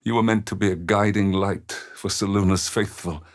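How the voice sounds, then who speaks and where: An older man voicing a weary, gentle, devout old father in a video game, with halting, tender and solemn delivery. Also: low-pitched, fairly even intonation, natural voice. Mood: weary, reflective. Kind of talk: dramatic video game character dialogue.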